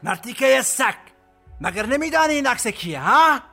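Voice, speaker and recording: A man's voice: high-pitched, expressive, a bit bright, soft background music. A man acting a ruthless, domineering armed commander in an audio drama, giving orders and threats in an imperious, menacing manner. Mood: enraged. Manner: menacing, imperious, bellowing when angered.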